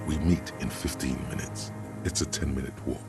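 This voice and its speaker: An actor voicing a somber, all-knowing narrator in a detective video game, reading literary prose in a measured, solemn, gravelly voice. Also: male voice, very deep voice, steady tone. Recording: music under the voice.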